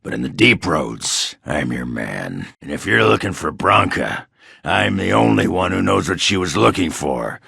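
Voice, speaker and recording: An actor voicing a crude, hard-drinking, middle-aged dwarf warrior in a video game, gruff and raspy, blunt and sardonic. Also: male voice, low-pitched, bright, forceful tone, no room sound, studio quality, full character voice. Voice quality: gravelly, raspy. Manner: blunt, boastful, swearing, sardonic. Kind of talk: video game character dialogue.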